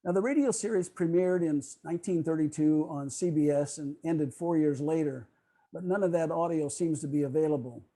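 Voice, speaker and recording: A man's voice: on the high side, fairly quick, mellow. A man presenting a prepared, informative history talk in an online video meeting.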